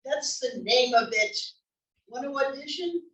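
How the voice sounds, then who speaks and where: A woman's voice: high-pitched, animated, measured pace. A woman reading the part of a cheerful, adventurous older wife in a comedy play. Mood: eager, teasing. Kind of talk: table read of a comedy play. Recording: roomy, distant library table-read recording.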